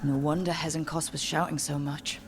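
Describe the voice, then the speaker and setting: An actress playing a curious, plain-spoken adventurer heroine in a fantasy video game, direct and conversational. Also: female voice, low-pitched, flat delivery, normal speed, noisy background. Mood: probing. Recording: game music playing behind.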